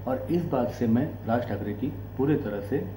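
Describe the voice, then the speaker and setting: An Indian man hosts an explanatory commentary in a mellow, relaxed voice at a middle pitch. He speaks deliberately, in short broken phrases. The room is echoey, with faint noise and hum behind him. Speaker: host of a commentary channel. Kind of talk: explanatory political commentary to camera.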